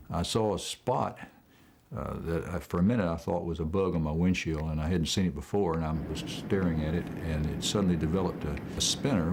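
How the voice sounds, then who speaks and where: A middle-aged male pilot recalls an experience for an interviewer. His voice sits low, and he speaks in a measured, matter-of-fact way. Music is clearly audible behind him.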